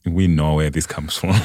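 A man's voice: low-pitched, mellow, no echo, studio quality. A young man from Malawi with a Malawian accent, telling his own story conversationally as an interview guest. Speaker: podcast guest.

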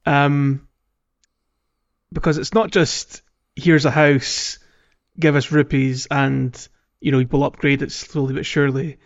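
A man with a fairly high voice chats casually as a podcast co-host. He talks informally and tends to think aloud. The audio is a little dull. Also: flat delivery, unhurried. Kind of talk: casual gaming podcast chat.

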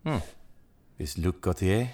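Deep-voiced jealous husband. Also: male voice, expressive, unhurried, a little mellow. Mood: guarded. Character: jealous, anguished husband and father. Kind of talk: audio drama acting.

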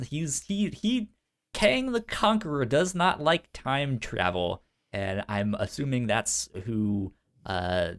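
A man co-hosting a casual pop culture podcast, talking in a halting way with a pronounced stutter that repeats sounds and syllables. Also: on the low side. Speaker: podcast co-host.

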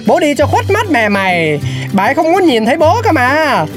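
A woman's voice: high-pitched, big pitch swings, normal speed, music in the background. A woman playing a sulky, teasing middle-aged husband and father.

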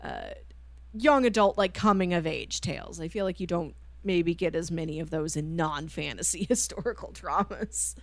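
Female podcast co-host, fairly low register, relaxed conversational delivery, very dry recording. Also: normal speed, dry recording. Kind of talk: casual book discussion.

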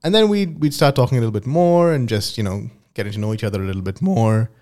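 A man's voice: medium pitch, fast talker, darkish tone. Rambling young man imagining aloud. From Dubai. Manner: meandering, speculative storytelling. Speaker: podcast host. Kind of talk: casual podcast monologue.

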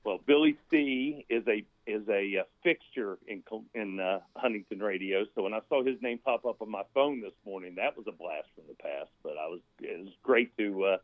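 A man's voice: lowish voice, moderate pace, hardly any pauses, no room sound. A man who works as a sports announcer, chatting casually as a radio guest over a phone call. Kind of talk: casual chat, reminiscing. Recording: narrow tinny phone line, dry.

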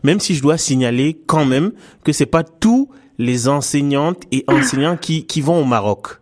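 Man hosting a radio interview, halting. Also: fairly high pitch, lively intonation. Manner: hesitant, many fillers and restarts. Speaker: radio host. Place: radio studio.

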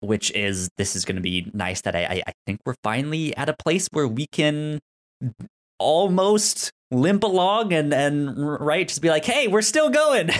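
A man co-hosting a podcast in casual chat, halting as he talks and often stammering and repeating syllables. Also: fairly high pitch, expressive. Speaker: podcast co-host.

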